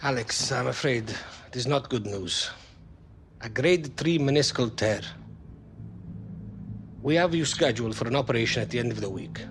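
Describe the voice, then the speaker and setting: A man's voice: mid-range voice, moderate pace, pauses often, slight background noise, close to an everyday voice. A man playing a firm but caring football team official in a video game cutscene, with formal wording. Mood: grave, sympathetic. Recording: game dialogue over music.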